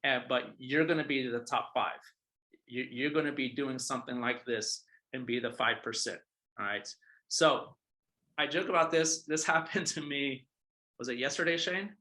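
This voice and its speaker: A man's voice: mid-range voice, moderate pace, bright, forceful tone. A man in his thirties coaching other realtors in a casual, emphatic motivational talk, repeating his points and checking in with the listeners. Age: 30s. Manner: emphatic, repeats points, often adds "all right?". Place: office.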